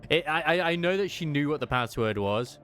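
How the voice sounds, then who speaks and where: Lively man thinking aloud over a game. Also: fairly high pitch, brisk, faint music behind. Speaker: game streamer. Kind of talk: live gameplay commentary and lore speculation.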